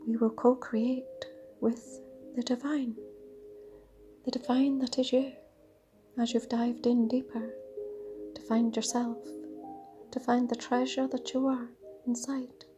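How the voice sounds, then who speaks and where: A woman leading a guided meditation in a dreamy, soothing, half-whispered voice with drawn-out pauses. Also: on the high side, flat delivery. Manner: breathy, half-whispered delivery. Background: soft sound bath music.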